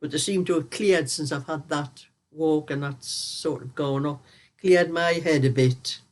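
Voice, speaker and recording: Croaky older woman. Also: low voice, a little slow, a little mellow. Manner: rambling, chatty storyteller who laughs often. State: croaky from a cold. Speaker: knitting vlog host. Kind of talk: casual chat while knitting.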